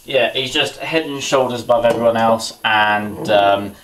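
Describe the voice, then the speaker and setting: A man's voice: mid-range voice, unhurried, a crowd in the background, rough recording. Man, thirties, rambling kitchen chat, mutters to himself, built-in camera mic. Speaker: boxing vlogger. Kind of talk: casual chat while cooking. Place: small home kitchen indoors.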